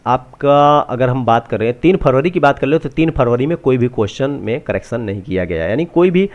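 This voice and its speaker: A man talks through exam updates unscripted, halting and often restating what he has just said. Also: mid-range voice, lively intonation, muffled recording. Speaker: presenter of exam answer-key updates. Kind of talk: unscripted walkthrough explanation.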